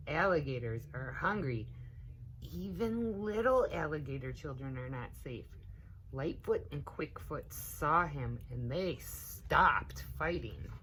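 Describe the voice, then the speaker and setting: A woman reading a children's storybook aloud, expressive and emphatic, as if to young children. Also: extremely low pitch, slight background noise, low-quality recording. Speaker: story time reader, teaching assistant.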